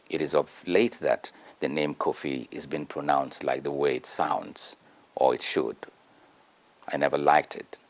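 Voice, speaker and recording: A man reading a prepared essay aloud in a measured, earnest way. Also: a bass voice, vocal fry. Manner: measured, earnest oratory with emphatic rises.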